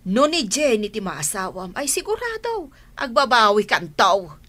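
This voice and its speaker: A woman's voice: mid-range voice, very animated, unhurried, bright, forceful tone, exaggerated voice. A woman acting an insistent, disapproving mother in a radio drama, with a scolding, emphatic manner. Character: insistent, disapproving mother pressing her son about love.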